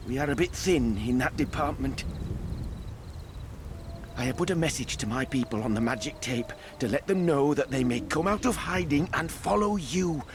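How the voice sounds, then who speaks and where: A man's voice: on the high side, noisy background, close to an everyday voice. A man voicing a small, zealous revolutionary fairy in a video game, pompous and declamatory, as if addressing comrades. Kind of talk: video game character monologue.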